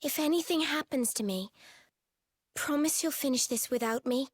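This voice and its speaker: An actress voicing a frank, resolute young sister in a video game, with a blunt and direct manner. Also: female voice, high voice, moderate pace, no room sound, lightly acted. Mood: serious, resolute. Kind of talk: dramatic video game voice acting.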